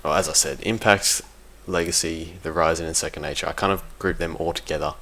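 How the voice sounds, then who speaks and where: A man with a low, fairly monotone voice talks off the cuff like a competitive gamer sharing opinions. His tone has a bright, forward edge. He is informal and hesitant, rewording as he goes, over some background noise. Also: a little fast. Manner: hesitant, informal, self-correcting. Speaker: competitive gaming team member giving an audio log. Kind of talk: casual unscripted commentary.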